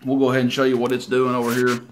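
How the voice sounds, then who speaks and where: Guy, medium pitch, folksy and unhurried, showing off gear on a home video. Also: monotone, a little hiss or hum. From Tennessee, United States. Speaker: CB radio hobbyist presenting his equipment. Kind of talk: casual hands-on demo narration. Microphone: handheld camera mic, operator behind it.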